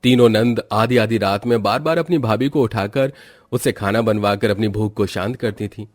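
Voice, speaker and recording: A man's voice: fairly low pitch, normal speed, darkish tone, no echo. A man narrating a scripted family story as a storyteller.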